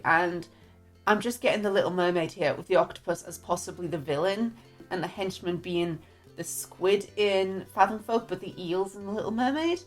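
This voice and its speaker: Chatty northeast English woman reviewing books. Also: medium pitch. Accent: northeast English, mixed with other English accents. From northeast England. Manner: chatty, candid, reads quotes aloud. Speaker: book reviewer. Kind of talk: casual book review.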